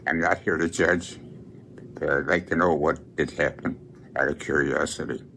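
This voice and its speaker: A man over a hundred years old, a priest, reminiscing in an interview in plain, halting short phrases. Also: extremely low pitch, fairly even intonation, creaky, pushed and bright. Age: over 100. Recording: noisy on-location interview recording.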